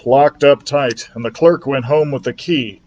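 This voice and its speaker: Guy doing a fed up but practical dad in a kids story, medium pitch. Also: measured pace, a little mellow, lo-fi sound, close to an everyday voice. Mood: exasperated. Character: exasperated, practical father of a sick boy.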